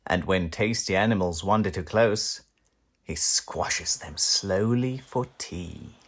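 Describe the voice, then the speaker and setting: A man reading a children's picture book aloud in an animated, theatrical way, drawing out key words. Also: low-pitched, slow pace.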